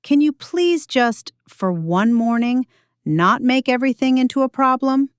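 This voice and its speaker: Audio story role: pushy young female designer, acted by a woman narrator, higher voice, brisk, impatient, lively delivery. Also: dry recording, studio quality. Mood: exasperated.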